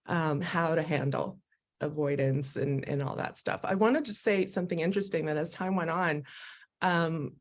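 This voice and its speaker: A woman speaking as a guest on a live video call, telling her own story in an animated, expressive way and often laughing as she talks. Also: low-pitched, normal speed. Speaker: guest, Human Design educator. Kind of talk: candid personal conversation.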